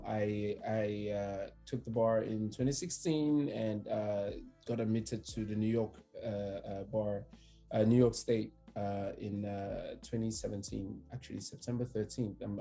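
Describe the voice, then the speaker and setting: A man from Cameroon in his thirties, an immigration attorney speaking as a podcast guest, relaxed and hesitant with many fillers and restarts. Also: fairly low pitch, normal speed, vocal fry, soft background music. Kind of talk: conversational podcast interview.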